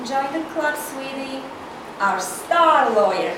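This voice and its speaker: A woman's voice: high-pitched, expressive, takes it slowly. A woman cold reading the part of a domineering, haughty corporate older sister in a screenplay, bossy and dryly sarcastic. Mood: smug. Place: indoor reading hall, recorded from far off. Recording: echoey room, distant mic, loud noise.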